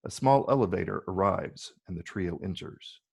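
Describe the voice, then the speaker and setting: A man with a gravelly, husky voice reading scripted screenplay narration. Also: low-pitched, normal speed. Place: virtual call from separate location.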